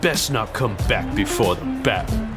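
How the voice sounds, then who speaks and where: A man's voice: on the low side, animated, normal speed, loud music behind the voice, close to an everyday voice. A man playing a hot-tempered brawler who snarls crude threats. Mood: threatening.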